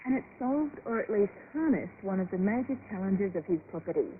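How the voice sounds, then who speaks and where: A woman narrates a scripted radio news report. Her voice sits at an average pitch. It arrives over shortwave, thin and phone-like, with a faint bed of noise. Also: animated, brisk. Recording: noisy narrowband shortwave radio broadcast.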